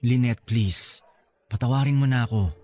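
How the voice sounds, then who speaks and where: A man's voice: medium pitch, moderate pace, warm tone, soft background music, close to an everyday voice. An actor playing a remorseful middle-aged father with a Filipino accent, earnest and pleading, in a radio drama. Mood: anguished, remorseful.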